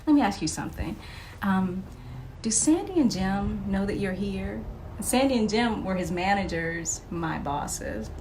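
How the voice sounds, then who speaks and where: A woman, a podcast guest and author, telling stories in a casual interview, animated and laughing often, with many fillers. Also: medium pitch, measured pace, background noise.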